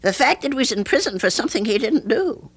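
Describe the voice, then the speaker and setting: An actress playing an earnest, troubled older landlady in an old-time radio drama, with a hesitant, halting delivery. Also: a little high, sing-song, breathy edge, exaggerated voice.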